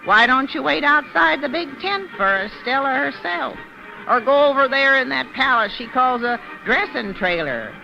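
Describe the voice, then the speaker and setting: An actress playing a sly, gossipy circus fortune-teller in an old radio drama, wheedling and insinuating in folksy slang with a creaky voice. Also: female voice, high-pitched, expressive, moderate pace, bright, forceful tone, exaggerated voice. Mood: sly, prying. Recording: muffled old radio recording, music behind.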